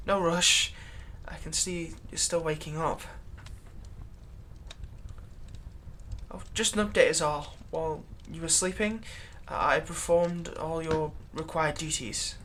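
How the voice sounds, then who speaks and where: A man's voice: a little high, fairly even intonation, unhurried, hardly any pauses, pushed and bright. Guy doing a servile jittery male vampire minion, stammers and grovels to his master, audio roleplay, some background noise. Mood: eager, servile. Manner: stammering, deferential, obsequious. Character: servile, nervous male vampire's thrall, bitterly eager to please. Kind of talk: roleplay audio drama monologue.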